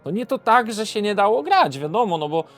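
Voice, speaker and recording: A man's voice: sits high, big pitch swings, fairly quick, a bit bright, soft background music. A Polish man presenting an informal, unscripted board game video review, hesitating and often correcting himself as he goes.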